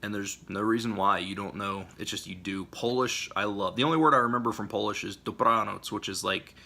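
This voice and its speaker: A man's voice: fairly low pitch, a little fast, vocal fry, pushed and bright. A man talking casually to camera in a vlog, rambling and enthusiastic, with frequent fillers and restarts. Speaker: language vlogger.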